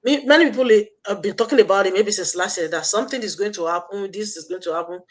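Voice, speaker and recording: A woman's voice: medium pitch, quick pace. A woman with a Nigerian accent hosting an online live stream, giving a motivational talk with a preachy, repetitive manner and frequent fillers. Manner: preachy, repeats points, thinks aloud with fillers.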